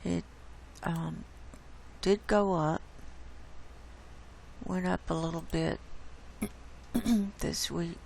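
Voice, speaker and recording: A woman host with a hoarse voice, casually walking viewers through data shown on her screen. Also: lowish voice, steady tone, darkish tone, slight background noise, low-quality recording. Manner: unhurried, explains data on screen. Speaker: channel host presenting climate data. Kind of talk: casual screen-share presentation.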